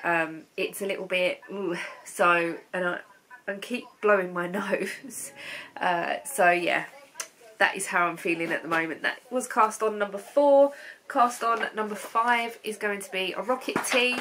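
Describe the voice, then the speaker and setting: A woman's voice: medium pitch, unhurried, a bit bright, a little hiss or hum. A woman in her thirties with a blocked, stuffy nose from a cold, chatting to her webcam about knitting from her sofa at home, rambling with lots of ums. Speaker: knitting vlogger. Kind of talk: casual chat to camera. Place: living room at home, on a sofa.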